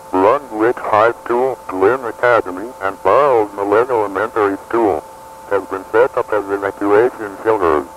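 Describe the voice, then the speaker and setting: Lowish-voiced male emergency broadcast announcer. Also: low-quality recording. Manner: impersonal, measured. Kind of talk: formal scripted emergency broadcast. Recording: radio broadcast with faint hum.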